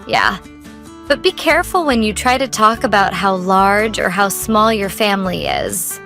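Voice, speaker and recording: A woman co-hosting a scripted English lesson, giving clear, instructive tips. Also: medium pitch, animated, moderate pace. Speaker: co-host. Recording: soft guitar music behind.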